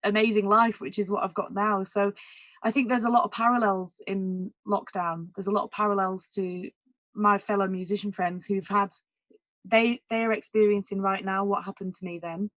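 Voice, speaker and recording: A woman jazz singer with a naturally husky voice, talking candidly in a casual interview, full of "like" and "you know". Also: medium pitch, steady tone, normal speed, fairly bright tone, no echo. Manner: candid and emotional, many "like" and "you know" fillers.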